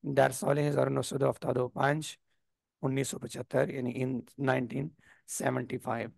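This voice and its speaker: Male teacher with a sore throat, gravelly and unhurried, on a dry video call. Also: mid-range voice, level tone, a little mellow, dry recording. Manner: slow explanatory, frequent throat clearing. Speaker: Persian teacher. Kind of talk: online class lesson.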